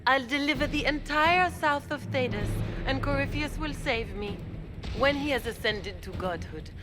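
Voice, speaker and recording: A woman's voice: high-pitched, expressive, normal speed. A woman voicing a scheming noble villainess in a video game cutscene, silky and condescending, with music playing behind. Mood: smug.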